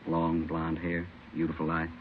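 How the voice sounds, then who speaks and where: An actor playing a businesslike police captain in an old radio drama, speaking plainly and briskly. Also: male voice, extremely low pitch, completely flat delivery. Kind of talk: 1950s radio acting. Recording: very noisy, muffled old radio recording.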